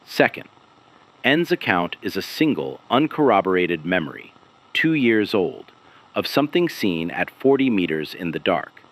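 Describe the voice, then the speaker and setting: A man with a deep voice narrates a serialised investigative mystery. His delivery is grave and carefully phrased, with deliberate pauses and lively movement in his intonation. It sounds like a scripted podcast host laying out a case. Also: rough recording. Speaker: host of an investigative audio series.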